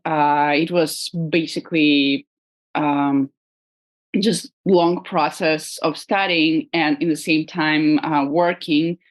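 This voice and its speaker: A woman with a Russian accent, speaking as an artist guest in a casual interview, hesitant with frequent pauses and fillers. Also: low-pitched. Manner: hesitant, many ums and uhs, laughs lightly.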